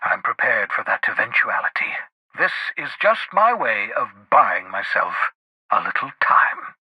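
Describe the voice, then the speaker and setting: An actor voicing an eccentric, erudite older renegade scientist in a video game, jittery and sardonic, with theatrical, wordy, precise delivery. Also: male voice, mid-range voice, big pitch swings, unhurried, bright, forceful tone, breathy edge, dry recording, heavily acted, low-quality recording. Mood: sardonic, scheming. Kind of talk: video game character dialogue.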